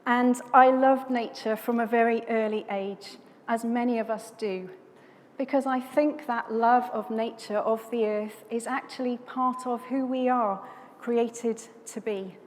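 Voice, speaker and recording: A woman from South Wales giving a sermon as a guest speaker, in a measured, reflective way, phrase by phrase. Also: fairly high pitch, fairly even intonation. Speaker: guest preacher from a conservation charity. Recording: long hall reverb, background noise.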